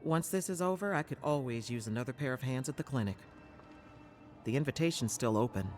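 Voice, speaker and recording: An actress playing a blunt, scolding but caring healer in a fantasy game cutscene. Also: female voice, rumbling low, animated, brisk, a little mellow, faint music behind. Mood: fond. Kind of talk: dramatic video game cutscene acting.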